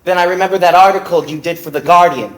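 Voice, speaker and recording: A man's voice: sits high, quick pace, close to an everyday voice, low-quality recording. An actor playing a cocky, tired young male fencer who talks in a teasing, flippant way, on a theater stage. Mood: probing. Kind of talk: stage play acting.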